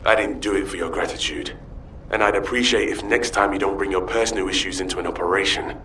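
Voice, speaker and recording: Stern game soldier, very low voice, curt, deadpan, gruff, light noise behind. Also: male voice, quick pace, rough recording. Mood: cold, dismissive. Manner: deadpan, clipped. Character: gruff, curt, stern male military operative. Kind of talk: video game voice acting.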